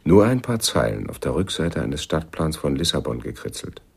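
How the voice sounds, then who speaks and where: A disillusioned jazz pianist in a radio drama. His voice is extremely low and gravelly, with a husky edge and a melancholy temper. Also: big pitch swings, moderate pace, exaggerated voice. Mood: brooding. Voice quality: very deep, gravelly. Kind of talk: German radio play acting.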